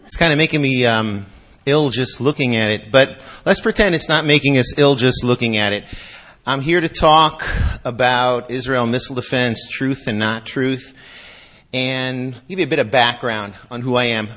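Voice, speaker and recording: A man's voice: mid-range voice, moderate pace, pushed and bright. A man speaking as a guest lecturer to a live audience, chatty and joking, restless in his delivery.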